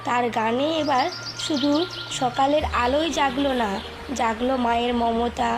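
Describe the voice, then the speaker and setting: Woman reading a kids cartoon story, highish voice, calm and steady, music going underneath. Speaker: narrator. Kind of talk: storybook narration. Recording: music playing behind. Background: background music.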